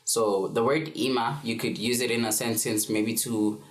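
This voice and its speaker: A man's voice: medium pitch, fairly even intonation, normal speed. A man in his twenties from South Africa giving a casual beginner language lesson, easygoing, with frequent ums and fillers. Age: 20s. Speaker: language tutor. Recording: roomy echo.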